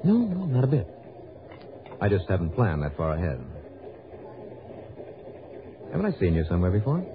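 An actor playing a cool, wry private investigator with a dry, easygoing manner in an old radio drama. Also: male voice, lowish voice, huge pitch swings, fast, background noise. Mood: casual, probing. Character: cool, wry male private investigator narrating his case. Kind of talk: 1950s-60s radio drama narration and acting. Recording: dull old radio recording, music behind.

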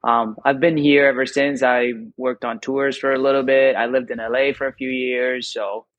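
A friendly man in his thirties from Puerto Rico, chatting easily about his career as a podcast guest. His voice sits at a middle pitch, and the recording is dry and close. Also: normal speed, no echo, highs cut off. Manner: friendly, relaxed, laughs easily. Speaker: guest, circus performer. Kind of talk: casual interview chat.

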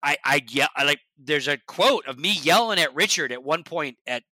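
Blunt, bright-voiced man talking shop. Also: lively intonation, normal speed, no echo. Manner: blunt, emphatic, swears, drops to a low quiet voice. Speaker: esports broadcast talent, guest. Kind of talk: casual podcast chat.

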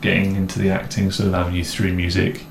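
A young adult man from the English Midlands with a mixed, somewhat posh English accent, co-hosting a casual chat in a relaxed, rambling way with self-mocking jokes. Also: sits low, fast, vocal fry. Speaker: co-host. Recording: echoey room with faint noise.

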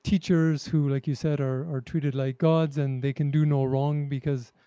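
A man's voice: fairly high pitch, level tone, moderate pace, dark tone, smooth, dry recording. A man interviewing someone conversationally, thoughtfully rephrasing his questions as he goes.

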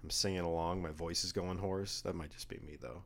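Deep-voiced man in casual chat. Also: a little fast, a little hiss or hum. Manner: animated, jokey, rising in pitch and volume when riled. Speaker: podcast co-host.

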